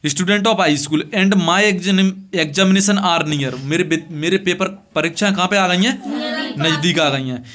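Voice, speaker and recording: A male teacher with a notably high voice and a north-central Indian accent leads a classroom lesson. He goes over each phrase piece by piece, posing short questions and supplying the answers himself. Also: expressive, fairly bright tone. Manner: repetitive, asks questions and answers them himself; slow, deliberate reading of English phrases, translated piece by piece. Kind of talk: classroom English lesson explained in Hindi.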